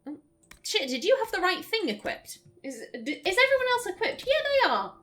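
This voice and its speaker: A woman's voice: very high voice, sing-song, moderate pace, fairly bright tone, faint music behind. A young woman chatting through gameplay as a let's play host, giggling and stumbling over her words. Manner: chatty, giggly, self-interrupting. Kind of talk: casual gameplay commentary.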